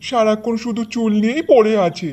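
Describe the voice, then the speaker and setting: A henpecked village husband from a cartoon folktale, acted by a man. His voice is unusually high, and he grumbles in a weary, fed-up manner. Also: steady tone, fairly quick, dark tone. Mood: weary, annoyed. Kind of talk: Bengali cartoon folktale acting.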